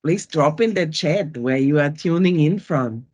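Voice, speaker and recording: A woman's voice: sits low, animated, measured pace, a little mellow. A woman with an Austrian German accent moderating an online event on a video call, upbeat and welcoming as she greets the audience.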